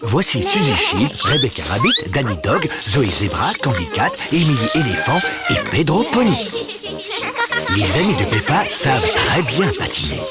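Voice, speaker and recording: A man's voice: mid-range voice, wildly swooping pitch, normal speed. A man narrating a children's cartoon in an amused storytelling manner. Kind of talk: scripted narration. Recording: loud music behind.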